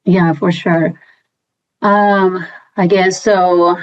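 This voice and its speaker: Guest: Colombian-accented woman, thirties, chatty, fillers, low-ish pitch, dull recording. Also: flat delivery, drawn-out words. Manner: chatty, many fillers and restarts. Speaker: guest, compliance professional. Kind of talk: casual interview. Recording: dull lo-fi sound.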